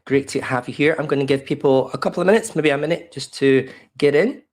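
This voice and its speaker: A man in his fifties with an Australian accent presenting a live online workshop from his home office over a webcam call. He sounds a little croaky from a cold and talks in a loose, welcoming way with plenty of fillers. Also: fairly high pitch, fast. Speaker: webinar presenter. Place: home office in a desk chair. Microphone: webcam video call.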